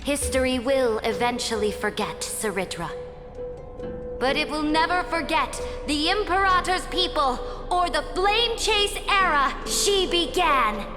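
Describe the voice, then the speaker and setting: An actress playing a proud, commanding conquering empress in a video game, speaking in grand, formal declamation. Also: female voice, sits high, expressive, unhurried. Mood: impassioned, grand. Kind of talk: dramatic video game voice acting. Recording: long reverb with loud music behind.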